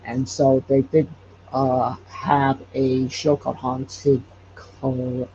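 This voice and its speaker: A man with a creaky, somewhat bright voice relates stories in a casual interview. He speaks haltingly and often restarts his sentences. The audio is dull and noisy. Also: medium pitch, completely flat delivery. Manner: halting, hesitant with repeated false starts. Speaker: podcast creator and guest.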